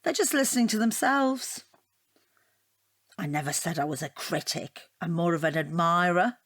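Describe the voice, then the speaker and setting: A woman's voice: lowish voice, moderate pace, fairly bright tone, dry recording. An actress playing a rambling, earnest, self-deprecating divorced woman in a naturalistic audio drama. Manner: rambling, earnest, easily flustered. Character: chatty, self-deprecating divorced woman taking an art appreciation class.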